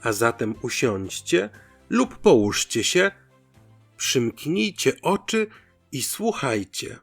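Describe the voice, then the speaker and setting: Male storyteller, middle pitch, very animated intonation, theatrical folk tale narration, light music behind. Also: takes it slowly, vocal fry, husky. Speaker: storyteller, host.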